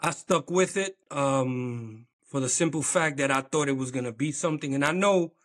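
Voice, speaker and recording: A man, a business owner being interviewed, speaking relaxed and halting with many pauses and ums. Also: medium pitch. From New York. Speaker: clothing brand owner, guest. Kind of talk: casual interview chat.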